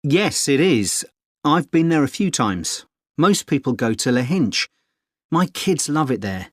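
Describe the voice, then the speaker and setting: A man's voice: mid-range voice, very animated, measured pace, no echo, clean studio sound. A man acting a friendly, experienced middle-aged surfer dad giving informative, encouraging advice in a scripted exam dialogue. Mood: helpful, enthusiastic.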